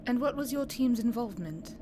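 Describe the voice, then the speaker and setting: A woman playing a formal, businesslike review board officer conducting a measured interrogation in an audio roleplay. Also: a little high, expressive, background noise, close to an everyday voice. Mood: businesslike, probing.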